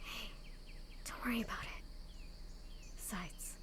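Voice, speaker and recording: A woman's voice: takes it slowly, bright, forceful tone, in a whisper. An actress playing a casual, outspoken, protective young convent girl in a visual novel. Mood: soft reassurance.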